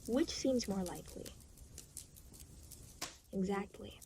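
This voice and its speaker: A woman's voice: medium pitch, slow, mellow, a little hiss or hum. A woman playing an aloof, reluctant, weary demon guide in an intimate audio roleplay, speaking in a dry, matter-of-fact, hesitant way. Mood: dry explaining. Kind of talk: intimate scripted audio roleplay spoken to the listener.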